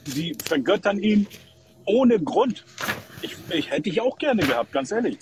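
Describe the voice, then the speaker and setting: High-pitched man on a noisy call. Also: big pitch swings, a little slow. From Kosovo. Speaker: live call-in guest. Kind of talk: casual opinionated chat.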